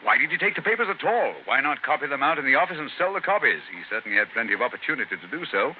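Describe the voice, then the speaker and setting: An actor playing a brisk, theatrical English gentleman detective in a 1930s radio drama, repeating phrases and firing off questions. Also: medium pitch, very animated, close to an everyday voice. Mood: musing, puzzled. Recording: scratchy, tinny 1930s radio recording.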